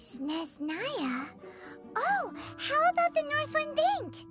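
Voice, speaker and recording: A woman voicing a tiny, chirpy female fairy companion in a video game, with a sassy, quick-quipping manner. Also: very high voice, huge pitch swings, full character voice. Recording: game dialogue over loud music.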